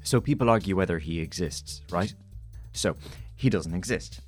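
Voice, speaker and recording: A man's voice: sits low, lively intonation, normal speed, a little mellow, soft background music. An actor playing a naive, earnest everyman in an audio drama, speaking in a hesitant, questioning, natural way. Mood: nervous, earnest.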